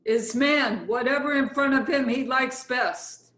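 A woman's voice: high voice, unhurried, a bit bright. A woman playing a brazen foreign artists' model with a Russian accent, speaking blunt, clipped broken English in a play reading. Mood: matter-of-fact. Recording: roomy, echoey sound.

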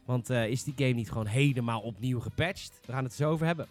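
A man's voice: mid-range voice, fast talker, loud music behind the voice. A man hosting a casual chat, talking bluntly and informally as he steers the discussion. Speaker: podcast host.

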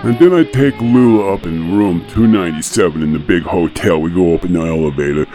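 A man's voice: sits low, a little fast, over music, natural voice. A man playing a weary tuba-playing small-time hitman, rambling conversationally through his tall tale in a comic radio play. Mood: weary, casual. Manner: rambling, conversational, peppered with "you know". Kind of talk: comic first-person radio play monologue.

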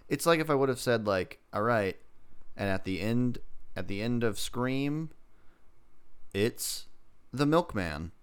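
A man's voice: mid-range voice, expressive, a little slow, smooth. A man co-hosting a casual TV review chat, arguing his points in a sarcastic way. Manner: argumentative, sarcastic. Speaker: podcast co-host.

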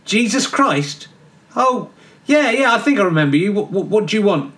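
A man's voice: sits high, very animated, normal speed, fairly bright tone, voice pushed up. A man voicing a chummy, forgetful male baptizer in a radio comedy sketch, dismissive and full of "mate". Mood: breezy.

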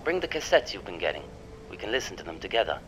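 A man's voice: low-pitched, sing-song, fast talker, fairly bright tone, a little hiss or hum, low-quality recording. An actor playing a cautious, secretive middle-aged man in a radio drama, speaking guardedly.

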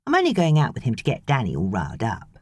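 A woman's voice: a bass voice, huge pitch swings, fast, dark tone, slightly rough, dry recording, clean studio sound, exaggerated voice. A British woman voicing a chirpy, slangy sixteen-year-old babysitter girl in an audiobook. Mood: gleeful, scheming.

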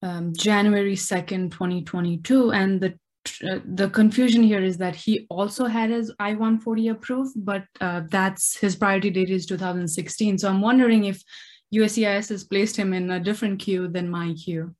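A woman from Nepal calling into an advice show, explaining her case in a measured, detailed way. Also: mid-range voice, steady tone, a little mellow. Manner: measured, detailed, few fillers. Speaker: caller.

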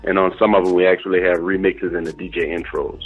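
Middle-aged man, very deep, rough-edged voice, informal interview talk, soft music underneath. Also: level tone, quick pace, poor audio. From New Orleans, American South. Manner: informal, uses "you know" and "bro" often. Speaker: interview guest, DJ and company owner.

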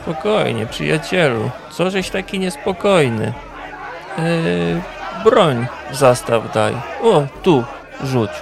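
A man playing a sly, commanding tavern gambling hustler in a fantasy audio drama, with a gravelly voice and sing-song, curt delivery. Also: a little high, expressive, slow pace, music in the background, full character voice. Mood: calm, commanding. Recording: noisy tavern crowd ambience.

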